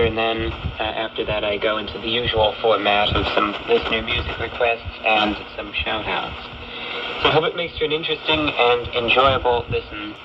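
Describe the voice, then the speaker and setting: A male radio host talks in a steady, earnest monologue, with occasional hesitations and lines he stresses firmly. His voice sits in an ordinary middle pitch. It comes through a dull, narrow shortwave receiver with clear static hissing underneath. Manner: earnest, steady delivery with frequent "uh" fillers, rising to emphatic stresses. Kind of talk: radio talk show monologue.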